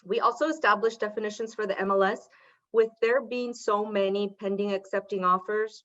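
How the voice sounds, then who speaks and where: A woman giving a committee report and reading out figures at a virtual meeting, in a cheerful, steady way. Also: medium pitch, dull audio.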